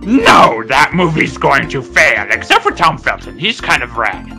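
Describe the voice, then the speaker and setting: A man's voice: mid-range voice, huge pitch swings, unhurried, creaky, pushed and bright, faint music behind, exaggerated voice. A man voicing a moody old ape sorcerer in an amateur cartoon parody, rambling theatrically and bossing others around. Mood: bossy. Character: cranky, moody old male ape who dabbles in magic.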